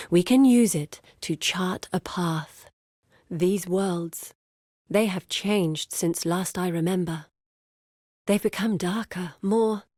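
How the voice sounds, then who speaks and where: An actress voicing an earnest, guarded woman explorer in a video game, speaking in a measured, grave and deliberate way. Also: lowish voice, dark tone, dry recording, studio quality. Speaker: video game character voice actor. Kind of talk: scripted game character dialogue.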